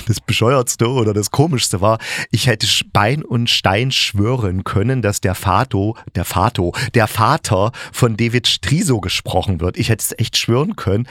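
A man's voice: mid-range voice, no echo, clean studio sound. A man hosting a solo podcast, reviewing in a chatty, colloquial way as if thinking aloud. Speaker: podcast host and radio drama maker.

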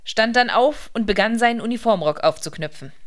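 A woman's voice: a little high, animated, fairly quick. A woman narrating a radio play from a literary script.

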